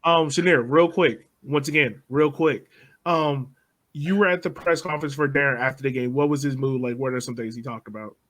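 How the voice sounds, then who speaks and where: A man hosting a soccer podcast, putting questions to his co-hosts in a brisk, casual way. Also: medium pitch, fairly bright tone. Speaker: podcast host.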